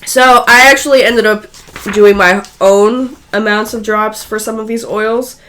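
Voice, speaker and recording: Raspy, hoarse woman explaining casually. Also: medium pitch, steady tone, unhurried, fairly bright tone, a little hiss or hum. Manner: chatty, loose, self-correcting. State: hoarse and unwell. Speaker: guest co-presenter.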